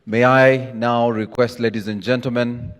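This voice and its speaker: A man's voice: mid-range voice, level tone, unhurried. A man makes formal, courteous announcements as a ceremonious master of ceremonies. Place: large conference hall. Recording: reverberant hall over PA.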